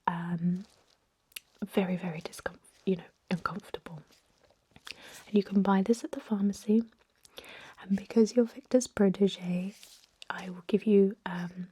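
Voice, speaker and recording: A woman playing a calm, reassuring ancient vampire medic in a whispered ASMR roleplay, speaking gently in a breathy whisper. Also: mid-range voice. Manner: gentle, unhurried, hesitant with soft explanations. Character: calm, reassuring thousand-year-old female vampire medic.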